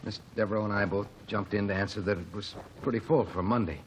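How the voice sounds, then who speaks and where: A man playing a hesitant assistant stage manager testifying in a 1949 radio drama, plain-spoken and halting. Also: lowish voice, fairly even intonation, a little hiss or hum, lightly acted. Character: Tom Kalish, nervous young assistant stage manager. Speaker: witness. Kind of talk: 1940s radio drama acting.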